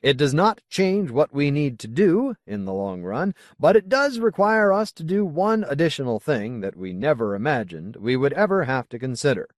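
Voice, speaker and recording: A man voicing a solemn, zealous colony leader in an audiobook, speaking in a formal, deliberate, oratorical way. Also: mid-range voice, big pitch swings, no echo, clean studio sound, voice pushed up, close to an everyday voice. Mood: grave, preaching. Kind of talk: audiobook character dialogue.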